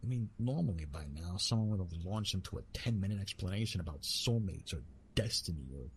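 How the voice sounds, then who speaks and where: A man playing Eros, a gently amused, bashful retired god of love, in a halting, teasing, intimate ASMR roleplay monologue. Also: low-pitched, lively intonation, slight background noise. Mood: amused, bemused. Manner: hesitant, halting, teasing, with repeated words.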